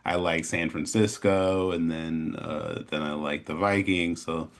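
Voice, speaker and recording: Man, American accent, deep voice, flat intonation, casual interview guest, rambling and halting. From Chicago, American Midwest. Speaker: interview guest, animation studio founder. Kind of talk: casual chat, telling his story.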